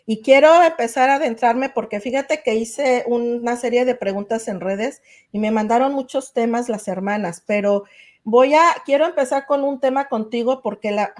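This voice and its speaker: A woman hosts a faith-based podcast interview in a fairly high, mellow voice. She talks effusively and gratefully, often pausing on "eh.". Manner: warm, effusive, grateful, with many "eh" fillers. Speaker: podcast host. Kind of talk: casual interview chat.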